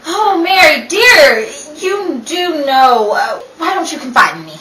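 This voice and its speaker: A woman playing a meddling, gossipy high-society wife who feigns concern, gushing theatrically in a 1930s-style radio play. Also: very animated, unhurried. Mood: gossipy insistence. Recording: echoey room, distant mic, faint noise.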